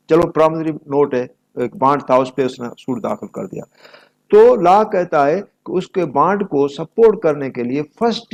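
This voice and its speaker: A man's voice: a little high, gravelly, darkish tone. A man with a Pakistani accent giving an explanatory lecture, reading examples aloud and stressing key phrases as he switches between two languages. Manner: didactic, emphatic, switching between Urdu and English, repeating key phrases. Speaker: law lecturer.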